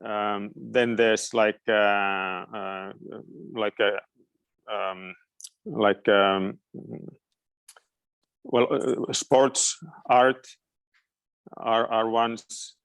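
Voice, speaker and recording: Slow, clear middle-aged man from Finland. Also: fairly low pitch, fairly even intonation, fairly bright tone, no room sound. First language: Finnish. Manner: hesitant, searching for words. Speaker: guest education expert. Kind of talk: informal question-and-answer talk.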